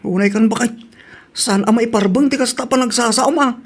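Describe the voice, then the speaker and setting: A woman's voice: on the high side, fairly quick, close to an everyday voice. A woman acting a hospitable, exasperated husband in an Ilocano-accented radio drama.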